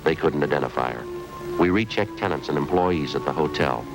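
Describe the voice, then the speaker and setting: A terse, very deep-voiced police detective. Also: male voice, lively intonation, brisk, low-quality recording. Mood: flat. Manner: clipped, matter-of-fact. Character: terse male police detective sergeant, narrator. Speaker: detective narrator. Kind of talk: 1950s radio crime drama. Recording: old radio broadcast with music underneath.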